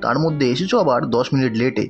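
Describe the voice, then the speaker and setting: A man's voice: mid-range voice, fast, dull audio, faint music behind, lightly acted. An actor playing a rude, sarcastic, hot-tempered young male customer in a cartoon. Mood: scornful, irritated. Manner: curt, sarcastic, scornful. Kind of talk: animated cartoon dialogue.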